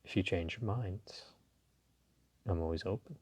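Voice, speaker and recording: Man acting a deep, husky, flirtatious mafia boss. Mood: teasing, flirtatious. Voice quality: husky, low. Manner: slow, teasing, courteous, softly chuckling. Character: suave dominant flirtatious male mafia boss. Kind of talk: intimate audio roleplay addressed to the listener.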